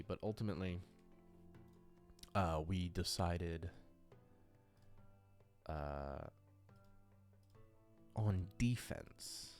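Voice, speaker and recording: Deep voiced guy, slow casual game master chat, kinda mumbling little sounds to himself while he works. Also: darkish tone. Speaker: creator and game master of a tabletop RPG audio drama. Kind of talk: casual unscripted commentary while working through character sheets.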